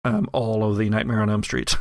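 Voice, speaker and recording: A man narrating a tabletop horror game as game master, with halting, descriptive storytelling. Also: lowish voice, level tone, no echo, studio quality.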